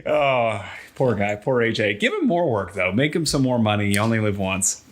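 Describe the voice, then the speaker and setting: A man's voice: fairly low pitch, big pitch swings, normal speed. A man co-hosting a podcast, chatting casually about pro wrestling and promoting events. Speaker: podcast co-host.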